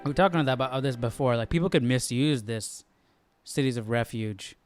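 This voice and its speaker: A man's voice: mid-range voice, normal speed, faint music behind. A man hosting a Bible study, explaining casually and tentatively as he thinks aloud, often checking himself. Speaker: host of a Bible study stream.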